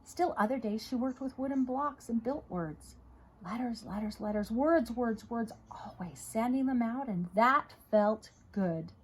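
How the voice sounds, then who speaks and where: Husky-voiced woman reading a children's story. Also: on the high side, unhurried, poor audio. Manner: dramatic, with emphatic repetition and hushed moments. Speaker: storyteller reading a children's picture book aloud. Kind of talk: expressive read-aloud narration.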